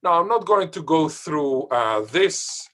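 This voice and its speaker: A man, a Hebrew speaker, gives an academic seminar over a video call. He is lively and emphatic and often pauses with filler sounds. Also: on the high side. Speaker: guest lecturer on language revival. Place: home or office, far from the audience.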